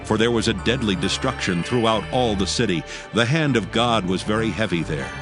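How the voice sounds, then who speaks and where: A man's voice: lowish voice, animated, a little fast. A man narrating a dramatized Bible reading in a solemn, formal storytelling manner. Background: background music.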